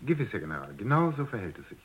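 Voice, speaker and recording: A man's voice: fairly low pitch, sing-song, racing, husky. An actor playing a deferential, formal male scientist in a German radio drama. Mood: assuring. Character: deferential male robotics company scientist, smoothly apologetic. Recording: slightly dull radio recording.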